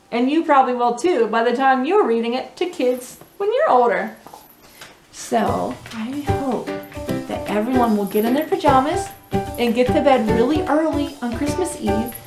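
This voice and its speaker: A woman hosting a children's storytime, reading expressively and speaking to young listeners with teacherly questions and asides. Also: high voice. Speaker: children's storytime host and reader. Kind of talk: animated read-aloud with asides to young listeners. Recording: roomy echo with music underneath.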